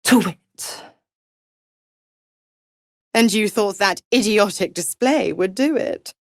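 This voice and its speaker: A woman's voice: medium pitch, takes it slowly, dry recording, clean studio sound. An actress playing a scheming, cruel, seductive she-devil villain in a video game, with a taunting, condescending manner. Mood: taunting, scornful. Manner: taunting, drawling, condescending, purring endearments like "pet". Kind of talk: theatrical video game character acting.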